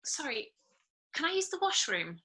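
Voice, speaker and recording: An actress playing a hapless, hungover divorcing woman in her late twenties, halting and flustered, in a table read over a video call. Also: sits high, big pitch swings, sharp tone, exaggerated voice. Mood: flustered, apologetic. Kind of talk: acted script table read.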